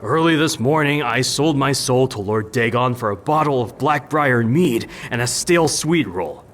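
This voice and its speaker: An actor playing a jaded, sardonic war veteran and brewer in a fantasy video game, dry and wistful. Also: male voice, mid-range voice, lively intonation, moderate pace, a bit bright, slight background noise, natural voice. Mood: sarcastic.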